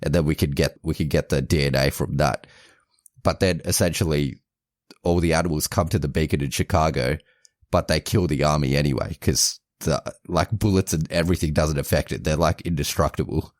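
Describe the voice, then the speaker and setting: A man's voice: very deep voice, a little fast, no room sound, clean studio sound. A man co-hosting a podcast, casually retelling a movie plot with lots of restarts, self-corrections and amused laughs. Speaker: podcast co-host.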